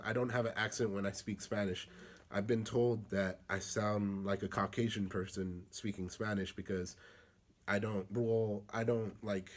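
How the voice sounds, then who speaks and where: Soft-spoken young man, halting interview answers. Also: on the low side, slight background noise. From United States. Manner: halting, many fillers and restarts.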